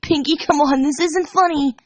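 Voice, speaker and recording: A woman voicing a terrified female pegasus pony in a fan story, pleading haltingly and desperately for her life. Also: sits high, fairly even intonation, no echo, voice pushed up, natural voice.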